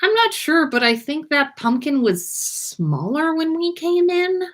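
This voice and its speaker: A woman reads the part of a puzzled teenage storybook wizard. He speaks in a lifted, questioning voice with lively inflection and a dry wit. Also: sits high, measured pace, voice pushed up. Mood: uneasy.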